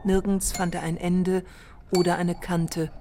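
A woman's voice: fairly low pitch, flat delivery, a little slow, warm tone, a little hiss or hum. A woman narrating a literary text in a scripted radio play. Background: music.

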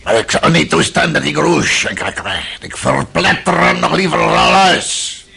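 An actor playing an aging, miserly, lecherous Russian father in a radio drama, self-pitying and rambling, with a gravelly, husky voice. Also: a little high, unhurried, pushed and bright, full character voice. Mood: grumbling, scheming. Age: older. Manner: rambling, muttering, with sighs and grunts.

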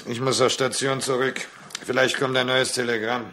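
An actor playing an easygoing rural postal messenger in a radio play, matter-of-fact and respectful. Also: male voice, mid-range voice, level tone, moderate pace, sharp tone, natural voice. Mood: cautioning. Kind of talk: German radio play acting.

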